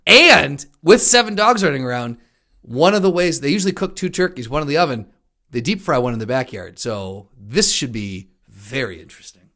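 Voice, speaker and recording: A man from the United States chats as a podcast co-host, his voice sitting at a middle pitch. He talks in an easygoing, playful way, trading banter in casual conversation. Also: very animated, normal speed, pushed and bright.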